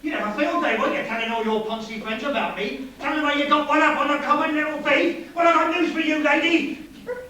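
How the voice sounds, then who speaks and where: A man's voice: very high voice, steady tone, fast, hardly any pauses, pushed and bright. A man acting a menacing working-class burglar, taunting and bullying in a theatrical crime drama. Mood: angry, taunting. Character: menacing working-class burglar, a put-on persona of a male lover. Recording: echoey, distant, noisy stage-like recording.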